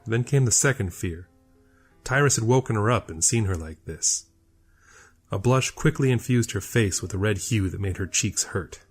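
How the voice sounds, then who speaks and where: A man's voice: fairly low pitch, normal speed. A man narrating a scripted audio fiction story. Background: faint music.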